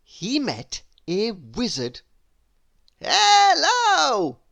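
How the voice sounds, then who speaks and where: A woman reading a children's story aloud in an expressive, deliberate storytelling manner. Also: fairly low pitch, no room sound, clean studio sound.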